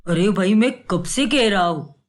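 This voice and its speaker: A man's voice: sits high, normal speed, lightly acted. A man voicing a grumbling older father-in-law in a family cartoon, complaining and reproachful. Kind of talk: cartoon voice acting.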